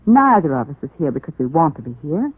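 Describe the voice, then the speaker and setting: Devoted young wife, vintage radio serial. Insistent, loving, lively swings in pitch, echoey old recording. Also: female voice, fast talker, full character voice. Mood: firm, reassuring. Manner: earnest, crisply insistent, affectionate. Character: devoted, firm, reassuring young wife and mother. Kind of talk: 1940s radio soap opera acting. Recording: muffled, echoey 1940s radio broadcast recording.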